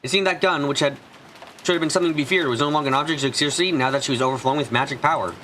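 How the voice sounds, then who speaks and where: Fast, bright-voiced man reading game narration. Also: fairly high pitch, slight background noise. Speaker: streamer, narrator. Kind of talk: reading visual novel narration aloud.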